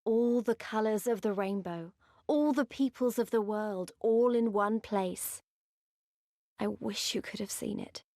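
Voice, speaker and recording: A woman playing a wistful, reflective storyteller, narrating a video game cutscene in a measured way. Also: medium pitch, darkish tone, no echo, clean studio sound, natural voice. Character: wistful woman narrator recalling a far-off homeland sea.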